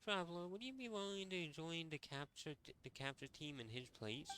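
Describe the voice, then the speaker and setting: A man reading game dialogue and text aloud in a gameplay video, halting and rereading phrases as he goes. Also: a little high, sing-song, bright, forceful tone, a little hiss or hum. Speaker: game streamer.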